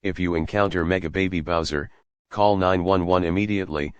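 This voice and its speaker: Man as news presenter for scripted emergency updates: deep, clean tone, very dry sound. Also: fairly even intonation, a little fast, smooth, no echo, highs cut off, natural voice. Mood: serious. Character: male TV news presenter giving urgent update. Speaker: news anchor.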